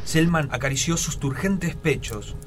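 A man's voice: a little high, measured pace, slight background noise. A man narrating a scripted radio drama as storyteller. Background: loud music.

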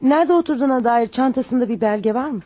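An actress playing a gentle, honest, poor mother in a radio play, speaking with compassion. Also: high-pitched, quick pace, a little hiss or hum, close to an everyday voice. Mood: gentle concern. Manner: compassionate, principled. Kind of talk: Turkish radio theatre acting.

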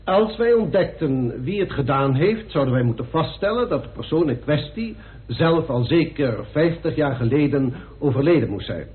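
Tinny, animated police inspector, acted by a man. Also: fairly high pitch, moderate pace, lightly acted. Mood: respectful. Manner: respectful, factual, clipped reporting. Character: deferential, matter-of-fact police inspector giving evidence. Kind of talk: radio drama acting. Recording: tinny band-limited old radio recording.

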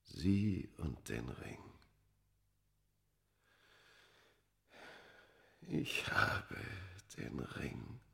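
An actor playing a tormented, immortal Egyptian under a curse in a German radio play, solemn and theatrical, moving from hushed words to fierce outbursts. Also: male voice, a bass voice, sing-song, drawn-out words, vocal fry, heavily acted. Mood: hushed, relieved anguish. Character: tormented, cursed ancient Egyptian man, four thousand years old.

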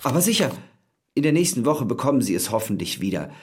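An actor playing a ruined, chatty schemer in an audio drama, pushy and wry, with a raspy voice. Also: male voice, medium pitch, big pitch swings, fast talker. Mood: pushy, eager. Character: ruined, fast-talking schemer with wry gallows humour.

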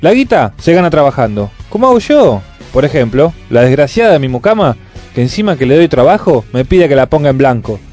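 A man's voice: mid-range voice, big pitch swings, brisk, a little mellow, soft background music, close to an everyday voice. A man playing a stingy, self-righteous Buenos Aires businessman, indignant and blustering, in a comic radio play. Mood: indignant, griping. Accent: Buenos Aires Argentine. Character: grumpy stingy scheming middle-class businessman, self-righteous.

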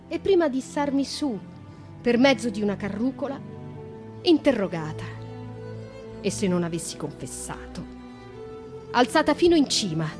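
An actress in a radio play voicing a young noblewoman who confesses to killing her father, speaking intensely, dropping to a hush and rising to impassioned outbursts. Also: fairly high pitch. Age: young adult. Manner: intense, shifts from hushed to impassioned. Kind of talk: dramatic radio monologue.

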